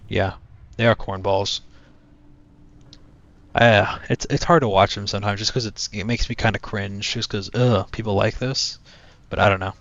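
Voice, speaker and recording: A young man streaming a flight game, chatting to his viewers in a low-key, monotone voice. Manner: low-key, talks to chat while playing. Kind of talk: casual gaming stream chat. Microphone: cheap headset mic. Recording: dull headset mic with game music.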